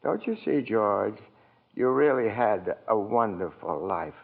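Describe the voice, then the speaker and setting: An actor playing a gentle, bumbling elderly male angel who talks timidly and politely. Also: fairly low pitch, expressive, unhurried, vocal fry, breathy edge, big-room sound, heavily acted. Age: older. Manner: timid, polite, often says sir. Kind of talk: 1940s radio acting. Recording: tinny 1940s radio broadcast with music.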